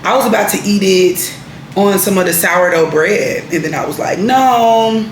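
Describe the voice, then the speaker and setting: A woman's voice: mid-range voice, normal speed. A young woman, chatting casually and laid-back in her vlog, laughing easily. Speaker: vlogger. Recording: echoey room with noise.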